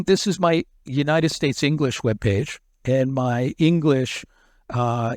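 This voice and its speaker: A man's voice: medium pitch, darkish tone, no room sound, clean studio sound. A man being interviewed as an expert, explaining a technical topic in long run-on stretches and often repeating words as he restarts. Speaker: SEO expert guest.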